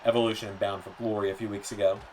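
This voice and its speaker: Man calling a wrestling match, slightly hoarse. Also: lowish voice, slight background noise, low-quality recording. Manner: rapid play-by-play, rising excitement on near-falls. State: losing his voice, softer than usual. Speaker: wrestling game commentator. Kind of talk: play-by-play commentary.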